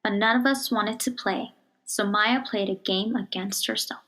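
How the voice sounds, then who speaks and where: A woman reads a children's picture book aloud in a measured, expressive storytelling manner, dropping to a hush on some phrases. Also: medium pitch. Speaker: storybook reader.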